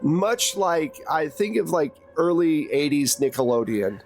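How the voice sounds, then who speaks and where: Higher-voiced man running a game. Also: expressive, unhurried, faint music behind, low-quality recording. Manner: casual, conversational, vivid descriptions. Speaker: game master. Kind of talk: narrating a tabletop game, improvised.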